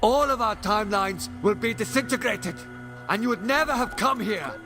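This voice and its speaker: Indignant alien hero , male actor, high voice, grave and declamatory, fan audio play with prominent music. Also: normal speed. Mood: grave warning. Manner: impassioned, declamatory. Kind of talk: audio drama acting.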